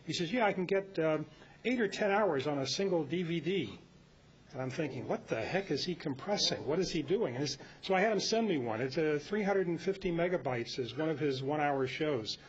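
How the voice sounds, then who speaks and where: Higher-voiced American man, muffled meeting recording. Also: expressive, brisk, husky. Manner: rambling, anecdotal. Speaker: meeting presenter. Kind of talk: informal club talk. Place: club meeting room. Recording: dull lo-fi meeting recording with rumbly noise.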